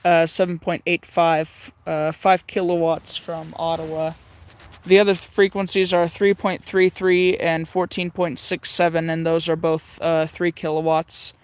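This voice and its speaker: A man's voice: fairly high pitch, level tone, measured pace, clean tone. A young man hosting a casual livestream, thinking aloud with many hesitant fillers while tuning a radio.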